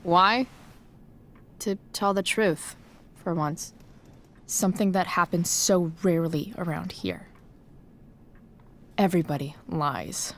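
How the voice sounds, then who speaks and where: A woman's voice: lowish voice, animated, takes it slowly, long pauses. Defiant, sarcastic teenage girl, faint noise. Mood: bitter, sarcastic. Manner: blunt, biting, sarcastic. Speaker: voice actor. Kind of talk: dramatic video game cutscene acting.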